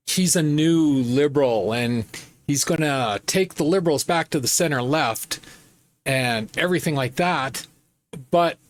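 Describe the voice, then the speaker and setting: Emphatic, scornful political commentator. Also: medium pitch. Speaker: host of political commentary show.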